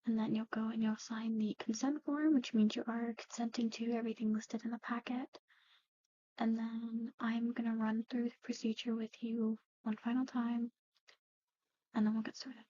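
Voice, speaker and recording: A woman doing a whispered ASMR medical roleplay, explaining things gently and hesitantly as a matter-of-fact clinic practitioner. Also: on the high side, level tone, normal speed, no room sound, highs cut off. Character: soft-spoken, matter-of-fact woman at an eerie old clinic. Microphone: close-up ASMR microphone.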